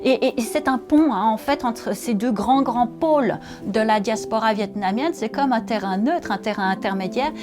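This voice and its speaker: Woman, expert interview, hesitant and thoughtful, higher voice, music behind. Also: normal speed, hardly any pauses. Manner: thoughtful, hesitant, many fillers and restarts. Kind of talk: documentary interview.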